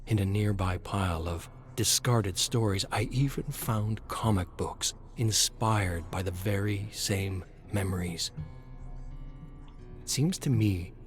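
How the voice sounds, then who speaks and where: A man reading scripted story narration in a measured, deliberate way. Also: lowish voice, faint music behind.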